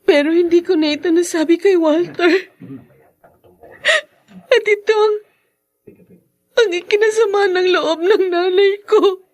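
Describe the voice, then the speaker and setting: An earnest young expectant mother in a radio drama. Her voice is very high and unhurried, full of grief and pleading emotion. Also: darkish tone. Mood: tearful grief. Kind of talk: Tagalog radio drama acting.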